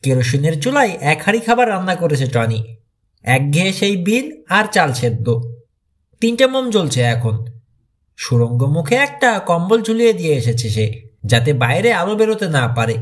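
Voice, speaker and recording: Expressive higher-pitched male Bengali storyteller. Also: moderate pace, long pauses. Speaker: audiobook narrator. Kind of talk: dramatised storybook narration of a mystery thriller.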